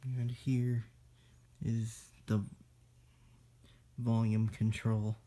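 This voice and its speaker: A person giving a casual hands-on electronics demo in a halting, piecemeal way. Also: medium pitch, flat delivery, warm tone. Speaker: demonstrator in a homemade electronics video.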